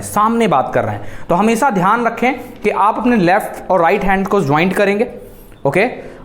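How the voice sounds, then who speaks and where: Indian male teacher with swooping, lively pitch. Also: a little hiss or hum. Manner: instructive, emphatic, encouraging, mixing Hindi and English. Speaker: teacher of public speaking. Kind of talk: classroom lesson with demonstrations. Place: classroom in front of students. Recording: roomy echo with faint music.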